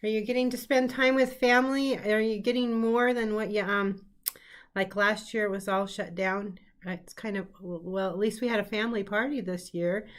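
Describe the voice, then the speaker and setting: A woman's voice: medium pitch, steady tone, moderate pace. Chatty woman with a cold. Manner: chatty, many ums and throat clears. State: hoarse from a cold. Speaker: quilting teacher and show host. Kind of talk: casual live-stream chat. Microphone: computer setup, live stream.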